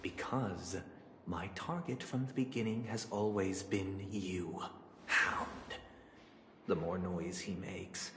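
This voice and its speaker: Slow, cold, vengeful aristocrat. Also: male voice, low-pitched, steady tone, a bit bright, a little hiss or hum, exaggerated voice. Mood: cold menace. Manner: deliberate, imperious, dropping into whispers. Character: cold, vengeful aristocratic man, commanding family master. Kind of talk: dramatic video game voice acting. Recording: very echoey hall, music underneath. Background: music.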